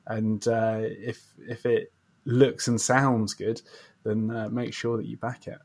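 A man's voice: fairly low pitch, little pitch movement, slow, creaky, a little mellow. A man hosting a casual interview podcast, speaking in an effusive, readily agreeing way.

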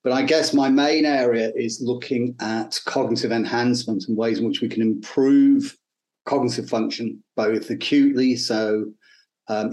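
A man's voice: fairly low pitch, a little mellow. A middle-aged man with an English accent giving thoughtful, hesitant answers as an academic interview guest. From north and Midlands of England, UK. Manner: hesitant, thoughtful, measured explaining. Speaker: guest, neuroscience professor.

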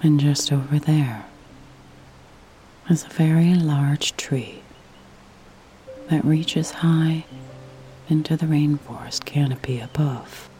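A woman narrating a guided sleep meditation in a soft, breathy, soothing voice that nears a whisper, with long pauses. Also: sits low, steady tone, a little hiss or hum, rough recording. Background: faint music.

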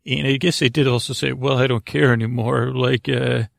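A man with a very creaky, gravelly voice talks through a meandering bedtime podcast monologue. He stammers, doubles back and leaves thoughts half finished. The sound is dry and close, with no room echo. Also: mid-range voice, steady tone. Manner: meandering, halting, stammering with repeated false starts. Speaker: podcast host.

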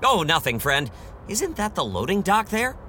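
A man's voice: high voice, big pitch swings, normal speed, pushed and bright, a little hiss or hum, full character voice. A man playing a bumbling, earnest reporter with a secret heroic life, in theatrical 1930s radio play style. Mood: secretive, earnest. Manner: theatrical, gee-whiz earnestness.

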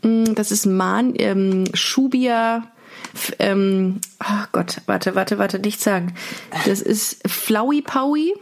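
A woman's voice: mid-range voice, slow pace, creaky. A woman hosting a podcast in casual banter, speaking in a colloquial, blunt way. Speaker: podcast host.

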